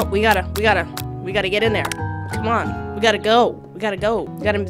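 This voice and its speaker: A woman hosting a gaming video in a playful, expressive, jokey and theatrical way, close on the mic. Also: medium pitch. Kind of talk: playful let's-play commentary with read-aloud game text. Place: small home room. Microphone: close microphone on boom arm.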